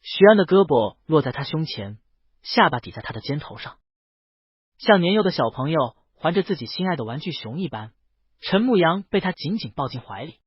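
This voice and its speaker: A man's voice: on the high side, sing-song, brisk, husky, no echo, lo-fi sound. A man narrating a scripted romance novel as an audiobook, reading close to the mic in a dry recording. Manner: reads character dialogue within the narration.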